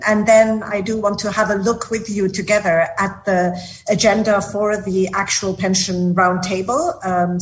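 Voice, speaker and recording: A woman director speaks at a formal meeting in a measured way, pausing often on small fillers. Her pitch sits in the middle range. Faint noise hums under her voice. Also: poor audio. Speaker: department director.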